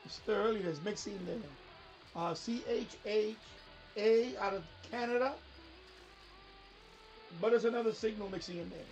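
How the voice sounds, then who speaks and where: A man's voice: high-pitched, normal speed, pauses often, far from the mic, highs cut off, loud music behind the voice. A man who hosts a hobby radio live stream, chatting casually and musing aloud in a hesitant way.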